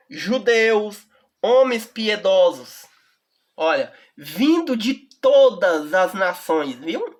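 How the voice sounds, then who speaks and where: Slow, deliberate Brazilian woman teaching. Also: medium pitch. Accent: Brazilian Portuguese. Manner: reads scripture slowly and deliberately, often checks in with the listener. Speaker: Bible teacher. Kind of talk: Bible study reading and explaining verses.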